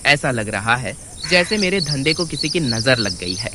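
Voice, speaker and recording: A man's voice: mid-range voice, animated, normal speed, background noise, close to an everyday voice. A man voicing a frustrated, sly village thief in a cartoon story, with a whiny, exclaiming manner. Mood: dismayed. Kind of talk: Hindi cartoon moral story dialogue.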